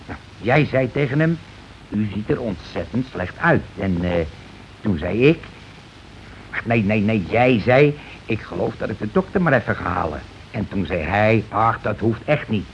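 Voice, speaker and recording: An actor playing a domineering, self-justifying working-class husband in a radio play, talking in an insistent, colloquial, repetitive way. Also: male voice, fairly low pitch, expressive, a little slow, vocal fry. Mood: scheming, persuasive. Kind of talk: Dutch radio play acting. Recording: dull, noisy old radio recording.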